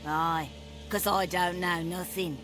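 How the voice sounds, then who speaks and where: An actress playing an eccentric, suspicious old peasant granny in a video game, rambling and scolding in rustic speech. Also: female voice, on the low side, steady tone, takes it slowly, music in the background. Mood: dismissive.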